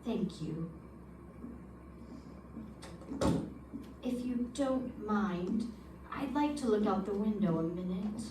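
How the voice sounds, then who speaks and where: A woman's voice: medium pitch, lively intonation, unhurried, close to an everyday voice. A woman playing an anxious, earnest man with information to give in an old-time radio drama. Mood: uneasy, insistent. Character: anxious, earnest male informant fearing for his life. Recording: echoey room, distant mic, background noise.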